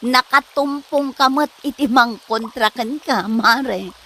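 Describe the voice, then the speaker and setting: Actress as a teasing female neighbour, high bright voice, Ilocano accent, comedy drama. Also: takes it slowly, heavily acted. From Philippines. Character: teasing, chatty neighbour woman friend.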